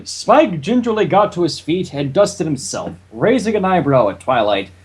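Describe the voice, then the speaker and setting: A fan fiction reader narrating a story in an animated way, with casual banter in between. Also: mid-range voice, moderate pace, husky.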